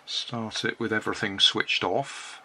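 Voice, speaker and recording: An older British man narrating a hands-on repair in a casual, unhurried, matter-of-fact way. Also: fairly low pitch, level tone, pushed and bright. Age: 60s or older. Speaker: hobbyist repairing and reviewing a toy. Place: cluttered indoor hobby workbench. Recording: noisy room with clicks and handling sounds.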